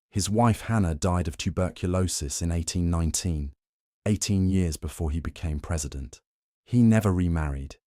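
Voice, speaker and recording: Deep, calm man narrating for sleep. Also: no echo, clean studio sound. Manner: slow, measured and soothing. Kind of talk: calm scripted bedtime narration.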